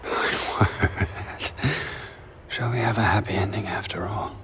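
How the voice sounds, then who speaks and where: An actor playing a smug, condescending villain in a video game, speaking with formal, measured menace. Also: male voice, lowish voice, fairly even intonation, a bit bright, in a whisper. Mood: cold condescension. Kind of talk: video game cutscene acting.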